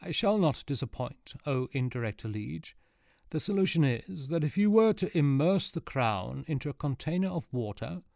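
A man's voice: fairly high pitch, lively intonation, vocal fry, a little mellow, no echo, studio quality, pitched above the performer's own voice. Old sage, solemn and long-winded, voice lifted above the narrator's own, played by a male reader. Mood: explaining. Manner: solemn, drawn-out.

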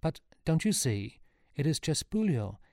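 A man's voice: medium pitch, lively intonation, normal speed, mellow, no room sound, studio quality, close to an everyday voice. A man voicing an anxious young male hero in a story, urgent and insistent as he pleads and questions. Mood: incredulous questioning.

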